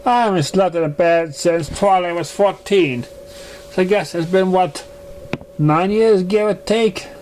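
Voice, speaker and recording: A man's voice: high voice, unhurried, a little mellow, a little hiss or hum, voice pushed up. A man voicing a casual young male dragon who shrugs off concern in an offhand way, in a read-aloud story. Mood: sheepish.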